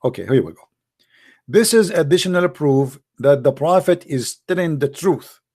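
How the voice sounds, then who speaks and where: A man's voice: fairly high pitch, lively intonation, normal speed, mellow, slightly rough. A man hosting a call-in show, speaking English as a second language with emphatic, mocking sarcasm. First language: Arabic. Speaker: show host. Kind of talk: live call-in show commentary.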